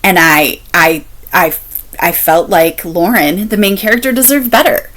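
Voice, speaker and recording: A woman chatting casually as a book-review vlogger, candid and expressive, repeating phrases for emphasis. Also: on the low side, measured pace. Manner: candid, expressive, laughs while talking.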